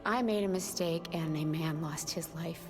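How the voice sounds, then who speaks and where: A guilt-ridden woman confesses in a lowish voice. She speaks solemnly and haltingly, weighed down by grief, with clear music behind her. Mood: guilty, grieving. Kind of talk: video game voice acting.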